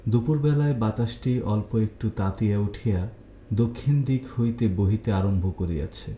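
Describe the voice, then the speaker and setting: A man's voice: fairly low pitch, moderate pace, mellow, dull audio, a little hiss or hum. A man narrating a literary short story as a scripted audiobook reading. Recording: roomy echo on the voice.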